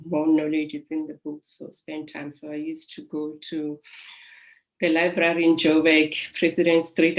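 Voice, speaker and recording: A middle-aged woman with a South African accent, a life coach calmly telling her own story in a soothing voice. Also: low voice, low-quality recording. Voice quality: calm, soothing voice. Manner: reflective, unhurried, with soft laughs. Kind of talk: personal storytelling.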